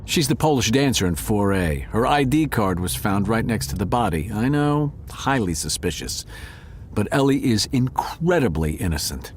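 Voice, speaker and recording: A man's voice: fairly low pitch, slight background noise. A man playing a wry, world-weary male cat who narrates a film-noir detective audio drama in a theatrical way. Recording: studio drama over loud music bed.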